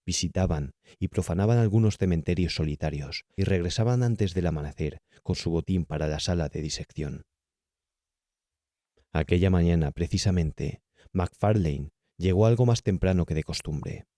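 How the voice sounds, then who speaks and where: A man with a Castilian Spanish accent narrating a horror audiobook in a measured, carefully enunciated way. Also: low voice, dark tone, no room sound, clean studio sound. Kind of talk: scripted horror story narration.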